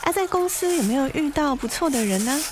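A woman's voice: high voice, animated, quick pace, a loud crowd. A woman playing a critical, fretful mother who nags her grown son in a dramatized essay. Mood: fretful nagging. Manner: chiding, anxious nagging.

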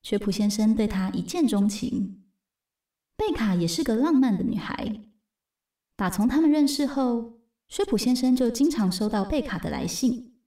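A woman's voice: on the high side, dark tone, natural voice. A woman narrating a radio drama as a composed storyteller. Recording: roomy, slightly distant voice.

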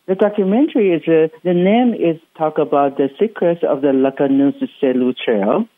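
A man with a Taiwanese accent and Chinese as his first language, chatting as an interview guest in enthusiastic, explanatory, halting English. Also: on the high side, no echo. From Hsinchu, Taiwan. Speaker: interview guest, travel writer and radio host. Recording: muffled, phone-like radio sound.

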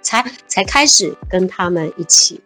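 A woman's voice: lowish voice, lively intonation, measured pace, bright, forceful tone, soft background music. A woman, talking reflectively as an interview guest and laughing easily. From Taiwan. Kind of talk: casual interview chat.

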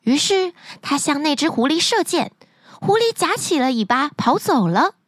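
Woman storyteller, high voice, very lively melody, bedtime tale, dry close recording. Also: normal speed, dry recording. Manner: expressive, sing-song storytelling.

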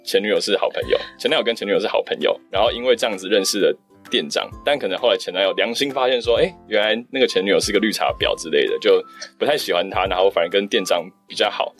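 A man's voice: fairly low pitch, fast, fairly bright tone. A man chatting casually as a guest in group banter, joking and rambling as he goes. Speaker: voice actor, guest on a free talk. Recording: music behind the voice. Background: music.

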